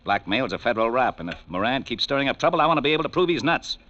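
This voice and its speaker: A man's voice: mid-range voice, big pitch swings, racing, sharp tone, dry recording. An actor playing a blunt, sceptical, exasperated male homicide lieutenant in a 1940s radio drama. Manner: blunt, sardonic, impatient. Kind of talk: 1940s radio detective acting. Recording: dull old radio recording.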